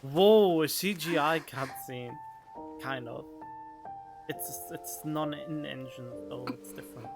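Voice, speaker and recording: A man's voice: on the high side, slow. A man streaming a video game, giving casual, chatty, reactive commentary into a desk microphone. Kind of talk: casual let's play commentary. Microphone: desk condenser microphone. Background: game music.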